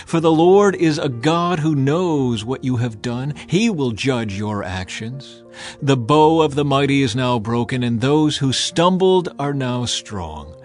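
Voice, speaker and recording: A man lending his voice to a devout, grateful mother lifting a slow, fervent prayer of praise in a dramatized scripture reading. The voice sits at a middling pitch, with quiet music under it. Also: close to an everyday voice.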